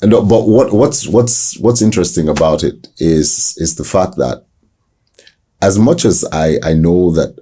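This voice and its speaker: A man who works as a voice-over artist with a deep voice, answering interview questions in a reflective way, often restarting his sentences. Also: warm tone, a little hiss or hum. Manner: reflective, hesitant restarts, slow emphasis.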